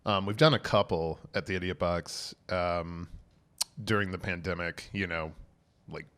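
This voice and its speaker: A very low-voiced man of about forty sits in on a relaxed podcast chat as the guest comedian. He rambles along with plenty of fillers and laughs often. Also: a little slow, smooth. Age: 40s.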